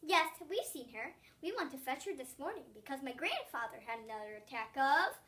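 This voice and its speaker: A schoolgirl reads as an eager, helpful storybook farm boy, cheerful and emphatic. The voice is high and slightly away from the microphone. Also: sing-song, normal speed, bright, forceful tone, far from the mic, heavily acted. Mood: friendly, helpful.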